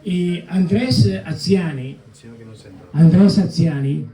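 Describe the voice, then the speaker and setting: An older man with an Italian accent talks at a book presentation, hesitating often and slipping into Italian words. His voice is gravelly and mellow. He sounds distant, in a roomy hall. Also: high-pitched. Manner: halting, many fillers and restarts, mixing Italian words. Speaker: co-author guest. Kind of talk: public book presentation talk. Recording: echoey hall, mic at a distance.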